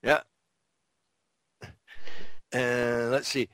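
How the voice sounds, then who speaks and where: A man's voice: medium pitch, little pitch movement, extremely slow, fairly bright tone, smooth, no echo. A man co-hosting a podcast in casual, opinionated chat, blunt and provocative, swearing freely. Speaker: podcast co-host.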